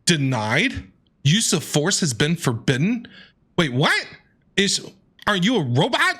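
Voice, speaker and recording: A woman's voice: on the low side, sing-song, slow pace, a bit bright, slightly rough. A woman streamer giving casual, sassy game commentary and playfully talking back to the characters. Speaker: gaming streamer. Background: game background music.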